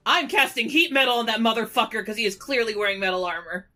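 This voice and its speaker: A woman's voice: fairly high pitch, fast talker, bright, forceful tone. A woman playing a tabletop roleplaying game, narrating her character casually with a brash, jokey, sweary manner.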